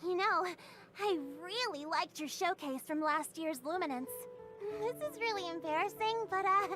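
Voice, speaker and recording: A woman's voice: very high voice, normal speed, fairly bright tone. A woman voicing an eager, cheerful young female student in a game. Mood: sheepish. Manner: bubbly, earnest. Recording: game audio with music behind.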